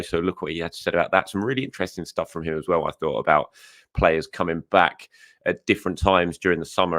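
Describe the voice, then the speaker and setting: Croaky young guy hosting a football news show, deep low voice, dry close sound, lots of ums and restarts. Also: fairly bright tone, dry recording. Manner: chatty, with frequent fillers and self-corrections. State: hoarse. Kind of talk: casual solo commentary.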